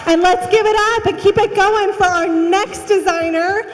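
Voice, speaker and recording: High-voiced woman emcee, upbeat. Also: steady tone, normal speed, a little hiss or hum. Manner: upbeat, rallying applause. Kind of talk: announcing to a crowd. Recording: reverberant hall with music.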